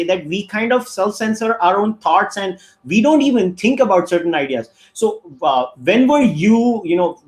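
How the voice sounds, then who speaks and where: Higher-voiced podcast host, echoey room. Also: male voice. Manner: loose, conversational, asks long winding questions. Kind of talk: casual interview chat.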